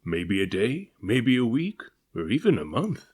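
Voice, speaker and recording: A man voicing a gruff, growling ship's captain in a storybook, folksy and resigned. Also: mid-range voice, very animated, unhurried, a little mellow.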